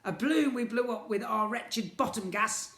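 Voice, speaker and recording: A man's voice: very high voice, normal speed, vocal fry, pushed and bright, reverberant, voice pushed up, exaggerated voice. A man voicing smug, gloating twin villain shopkeepers in a children's story, with a theatrical, boastful sneer.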